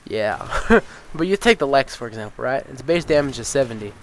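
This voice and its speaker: A man's voice: mid-range voice, fairly quick, a little hiss or hum, poor audio. Sniffly man rambling about a game. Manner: rambling, with many ums and uhs, and swears freely; gets excited and incredulous about game balance. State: stuffy, sniffly nose. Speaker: gaming YouTuber. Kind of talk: casual gameplay commentary.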